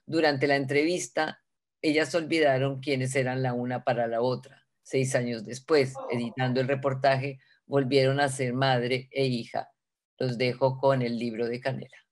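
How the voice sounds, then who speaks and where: Man, moderator, hesitant measured intro to a screening. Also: mid-range voice, little pitch movement. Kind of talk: spoken introduction at a panel.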